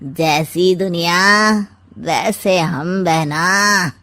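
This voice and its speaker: A woman voicing a scheming, smug older uncle in a comic cartoon story, with a sardonic, drawn-out delivery. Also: lowish voice, fairly bright tone, exaggerated voice.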